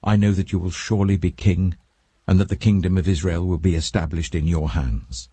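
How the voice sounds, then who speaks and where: A man's voice: low voice, fairly even intonation, a little fast, mellow, husky, no echo, voice pushed up. A British man voicing a remorseful, humbled ageing king, grave and emotional, in a dramatic audiobook Bible reading. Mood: remorseful, moved.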